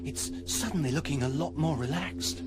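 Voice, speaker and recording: A man's voice: a little high, moderate pace, over music, close to an everyday voice. Man as a solemn, anxious computer keeper. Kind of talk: radio comedy drama acting.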